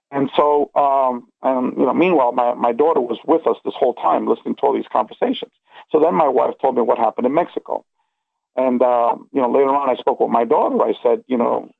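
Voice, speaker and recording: Gravelly man on a phone-like line. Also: mid-range voice, no echo. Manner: earnest, emphatic, checks in with okay and you know. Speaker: interview guest recounting personal experiences. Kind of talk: casual, rambling first-person storytelling.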